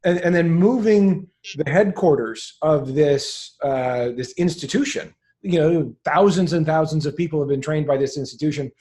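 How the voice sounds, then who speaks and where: A man's voice: fairly high pitch, moderate pace, mellow. A man doing a casual interview, thinking aloud as he works his way through questions.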